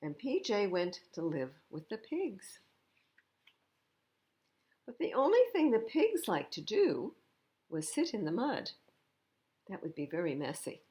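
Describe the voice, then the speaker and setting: A woman's voice: mid-range voice, wildly swooping pitch, a little slow, long pauses, a little mellow. A woman reading a picture book aloud to young children, expressively, with explanatory asides about the pictures. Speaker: children's storytime reader.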